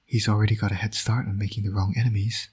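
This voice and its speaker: A man acts out a roguish male supervillain who is also a doting dad. The voice is very husky and breathy, sitting a little low. He speaks in a wry, flirtatious, teasing way, as in an intimate audio drama. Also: little pitch movement, fast talker, darkish tone. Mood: playful doting. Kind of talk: intimate scripted audio roleplay.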